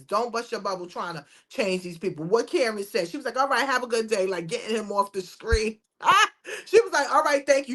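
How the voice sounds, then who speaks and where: Woman, co-host, emphatic, casual discussion, fairly high voice. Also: fairly bright tone. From United States. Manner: emphatic, repeats "you understand?". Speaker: podcast co-host.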